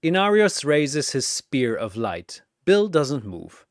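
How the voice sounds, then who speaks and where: A man's voice: mid-range voice, sing-song, slow pace, no echo, clean studio sound. A man giving dramatic scripted narration of a fantasy battle in theatrical, clipped announcer phrases.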